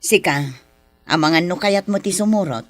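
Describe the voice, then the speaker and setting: A woman's voice: fairly low pitch, normal speed, dry recording, faint music behind, lightly acted. An actress playing an exasperated farm wife and mother in a Philippine radio drama, scolding and pleading with her husband.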